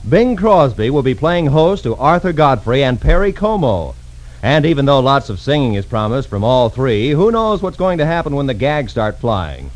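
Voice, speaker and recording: A man's voice: medium pitch, rough recording. An American man working as a polished 1940s radio announcer, reading promotional copy. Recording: old radio broadcast, music behind.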